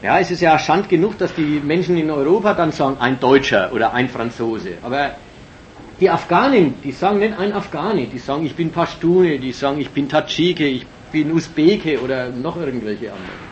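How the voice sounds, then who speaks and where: Male lecturer, slightly high voice, emphatic and analytical, muffled lo-fi tape with faint hiss. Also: animated, moderate pace. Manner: emphatic, analytical, with many fillers and restarts. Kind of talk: political lecture. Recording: dull lo-fi recording with faint hiss.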